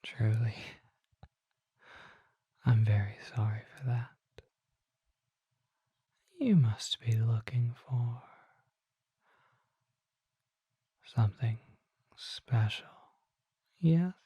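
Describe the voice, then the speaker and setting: A performer voicing a courteous, mysterious vampire merchant in an ASMR roleplay, speaking in a breathy whisper with formal, polite phrasing. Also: mid-range voice, lively intonation, warm tone, dry recording, full character voice. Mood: apologetic, gentle. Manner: formal, polite, unhurried, old-fashioned phrasing.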